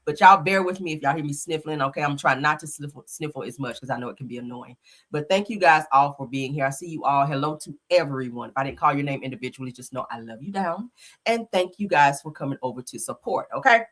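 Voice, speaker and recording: A woman's voice: deep voice, fast, fairly bright tone. A woman hosting a casual live stream, sniffling from a slight cold, greeting her viewers and chatting with them in a loose, easygoing way. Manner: chatty, greets viewers often, says y'all.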